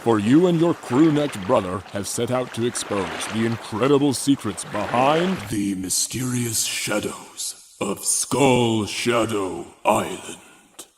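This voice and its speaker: A man playing a grave narrator of a boys' mystery adventure, theatrical, mock-serious and deliberate in his dramatic reading. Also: mid-range voice, expressive, background noise. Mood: ominous, grandiose.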